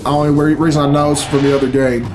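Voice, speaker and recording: A man's voice: fairly high pitch, flat delivery, fast talker, noisy background. A man in his thirties giving casual, profane and sardonic reaction commentary in a small room. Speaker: reaction video host. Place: small bedroom, indoors. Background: video game cutscene music.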